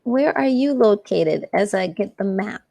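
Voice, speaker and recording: A woman from Miami, a singer and artist tutoring on a video call, cheerful and quick to laugh. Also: medium pitch, expressive, vocal fry, mellow. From Miami, Florida. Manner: cheerful, gushing, laughs often. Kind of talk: casual video-call conversation.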